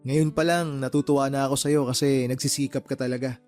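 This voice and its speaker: A man's voice: medium pitch, steady tone, a little fast, a little mellow, faint music behind, natural voice. A man playing a kindly, responsible uncle in a radio drama, polite and encouraging. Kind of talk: Filipino radio drama acting.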